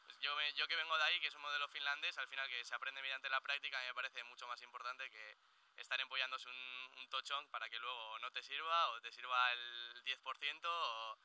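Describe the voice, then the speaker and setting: Young guy, super bright crisp voice, rambling question to a panel. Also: mid-range voice, fairly even intonation, brisk, rough recording. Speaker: young debate guest.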